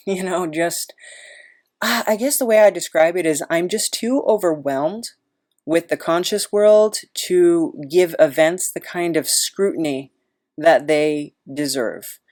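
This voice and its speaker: A woman's voice: sits low, a little mellow. A woman podcast host chatting in a casual, rambling, self-deprecating way.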